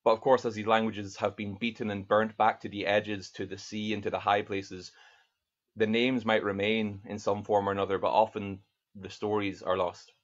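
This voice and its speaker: A man's voice: lowish voice, little pitch movement, lo-fi sound. A man. He is a poet reading his work and introducing it in an earnest, thoughtful way from a home study over a video call. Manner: earnest, thoughtful, reads verse slowly and deliberately. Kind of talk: poetry reading with spoken introductions. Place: home study lined with bookshelves, indoors. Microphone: video call webcam.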